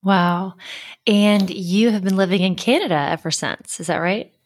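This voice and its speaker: A woman's voice: medium pitch, moderate pace. A woman hosting a podcast, reading an upbeat intro and then interviewing a guest in a chatty, friendly way. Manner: upbeat, chatty, laughs often. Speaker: podcast host.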